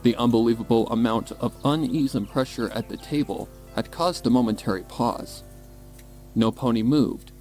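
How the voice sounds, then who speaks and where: A man's voice: mid-range voice, normal speed, a little mellow. A man reading scripted, dramatic story narration as the narrator. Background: music bed.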